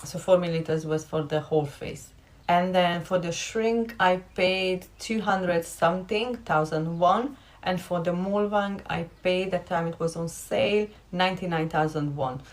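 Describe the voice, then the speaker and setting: A woman's voice: fairly low pitch, a little slow. A young woman from Romania, a content creator, talking candidly and directly to her viewers in a casual vlog.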